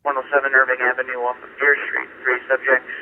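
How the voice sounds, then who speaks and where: A man with a mid-range voice gives dispatches quickly and with little inflection. His clipped words come over a narrow two-way radio channel with slight noise. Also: mid-range voice, completely flat delivery, vocal fry. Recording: tinny scanner radio, faint static.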